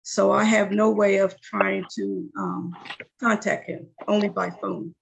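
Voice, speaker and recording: A woman's voice: medium pitch, fairly even intonation, unhurried, vocal fry, a little hiss or hum. A woman who is a party in a court case, speaking on a video call in a hesitant, apologetic and polite way.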